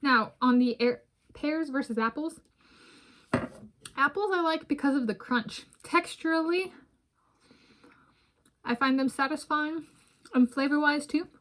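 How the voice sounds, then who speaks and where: A woman's voice: high voice, measured pace, pauses often, fairly bright tone. A woman in her thirties from the United States, chatting casually in a food vlog at home, wandering off topic as she eats. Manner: rambling, digressive, talks while eating. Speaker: vlogger. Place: home room with bookshelves, indoors.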